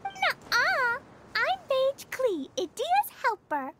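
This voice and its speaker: Casting: excitable little girl mage, tiny very high voice, lively swings, music behind. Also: takes it slowly, background noise, exaggerated voice. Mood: eager, excited. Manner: excitable, childlike exclamations.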